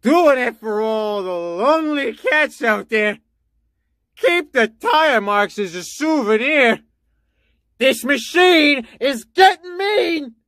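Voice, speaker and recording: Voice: high-pitched, animated, takes it slowly, a bit bright, no echo, clean studio sound, full character voice. A performer voicing a boastful stuntman robot in a game, talking like a showman. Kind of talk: game character voice lines.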